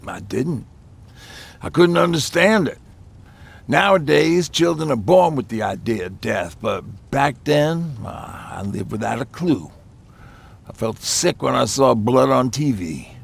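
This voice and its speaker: A weathered survivor of the end of the world, speaking in a very gravelly, rasping voice. He tells his story haltingly and reflectively, as in a naturalistic video game drama. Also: mid-range voice, animated, exaggerated voice. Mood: somber, reflective. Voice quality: gravelly, husky. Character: weathered male post-apocalypse survivor recalling hard times.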